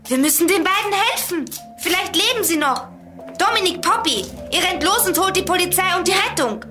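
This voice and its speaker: A woman's voice: extremely high pitch, lively intonation, a little fast. A woman playing a bold, bossy child detective who leads a gang in a radio play. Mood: urgent. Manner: decisive, urgent. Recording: echoey hall with music behind.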